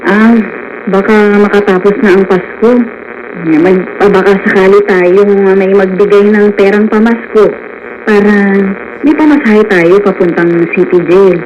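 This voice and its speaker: A woman's voice: mid-range voice, fairly even intonation, measured pace, darkish tone, lightly acted. An actress in a radio drama playing a hard-up, caring Filipino mother, earnest, motherly and long-suffering. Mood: weary, gentle. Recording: dull radio audio with slight noise.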